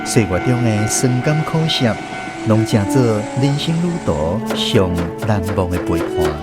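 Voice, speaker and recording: Lively Taiwanese male storyteller. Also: on the low side, unhurried, over loud music. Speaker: narrator and host. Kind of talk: scripted radio drama narration.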